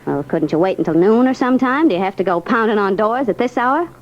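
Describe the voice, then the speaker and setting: An actress playing a brassy, slangy American night club hostess, tough but flirtatious, in a 1940s radio crime drama. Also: female voice, mid-range voice, expressive, fast, a little hiss or hum, close to an everyday voice. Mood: wary, brassy. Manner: sassy, slangy, world-weary wisecracks. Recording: muffled old radio recording.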